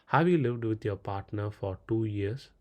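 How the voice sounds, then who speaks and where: Low-voiced man with Tamil Indian accent. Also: lively intonation, moderate pace, darkish tone, highs cut off. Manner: clipped, reading questions aloud then answering. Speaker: tutorial presenter. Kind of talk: step-by-step screen tutorial narration. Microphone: voice-over on a screen recording.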